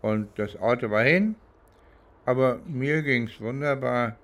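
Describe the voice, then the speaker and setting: Slow, deliberate older man from East Germany. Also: medium pitch, fairly bright tone. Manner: deliberate, halting, pausing between phrases. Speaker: legal professional recounting his experience. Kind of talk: reflective spoken recollection, interview-like.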